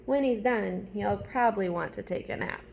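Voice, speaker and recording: A woman's voice: medium pitch, normal speed, vocal fry, darkish tone, slight background noise. A woman teacher reading a storybook aloud to young children, chatting to them directly with simple questions and step-by-step prompts.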